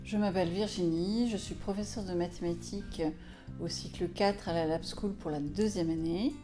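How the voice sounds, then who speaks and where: Woman math teacher, lowish calm voice, guitar music behind. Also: normal speed. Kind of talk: recorded self-introduction.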